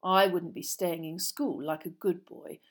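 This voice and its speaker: A woman voicing a mean, taunting schoolboy bully in an audiobook, with quiet, breathy, drawling speech. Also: lowish voice, steady tone, measured pace. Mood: sly taunting.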